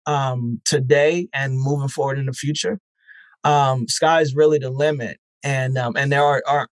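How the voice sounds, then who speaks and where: A man's voice: medium pitch, no echo. A man speaking as a guest, a festival founder and life coach, earnest and encouraging, with frequent ums. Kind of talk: casual interview chat.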